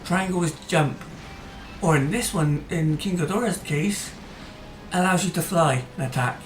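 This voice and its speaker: A casual gaming host talks loosely over gameplay, restarting sentences and filling pauses with "uh" as his voice wears down. The recording rings in a roomy space, with faint music under the speech. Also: mid-range voice. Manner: chatty and rambling, with hesitant fillers and restarts. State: voice tiring.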